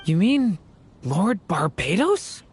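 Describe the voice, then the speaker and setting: A performer voicing a gentle, polite boy in a video game, soft-spoken and quietly confident. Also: mid-range voice, big pitch swings, slow, a little hiss or hum. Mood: thoughtful.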